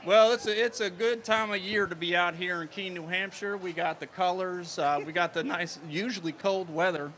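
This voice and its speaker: A man's voice: high voice, steady tone, normal speed, few pauses, a bit bright, a little hiss or hum. A man from Minnesota at an outdoor street festival, playfully talking like a cowboy in a folksy way for a reporter's mic. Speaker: festival goer in a costume. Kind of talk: hammy street interview.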